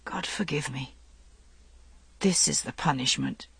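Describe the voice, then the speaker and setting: A woman voicing an anguished, weary young king with a tender, halting manner in a storybook reading. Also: sits low, animated, husky, dry recording, full character voice. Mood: anguished, hesitant. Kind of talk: dramatic audiobook storytelling.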